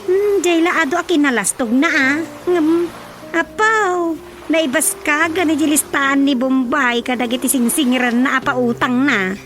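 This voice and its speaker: A gossipy daughter with an Ilocano accent in a radio drama comedy. She is amused and chatty, high in pitch, with faint music beneath her. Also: unhurried, a bit bright. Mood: mocking.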